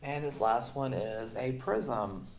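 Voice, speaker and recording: A man's voice: medium pitch, slow pace, vocal fry. A man teaching a math lesson while sick, talking casually with lots of fillers. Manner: casual, filler-heavy explaining. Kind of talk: classroom lesson recording. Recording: echoey room, far from mic.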